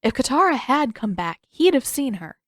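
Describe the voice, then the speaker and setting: A woman's voice: high voice, very animated, normal speed, fairly bright tone, dry recording, clean studio sound. A woman reading scripted fiction aloud as an audiobook narrator.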